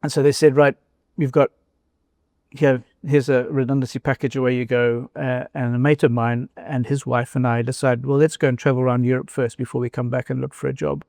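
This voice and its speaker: An older man from South Africa with a South African accent, talking thoughtfully in an interview, pausing often with fillers and restarts. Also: mid-range voice, level tone, vocal fry, darkish tone, no room sound. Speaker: guest, former company CEO. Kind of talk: casual interview conversation.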